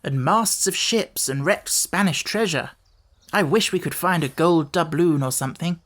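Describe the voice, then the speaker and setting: A man's voice: on the high side, normal speed, fairly bright tone. A man playing an eager, adventurous schoolboy, an older brother in a storybook. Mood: eager, wistful. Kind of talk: audiobook character voice.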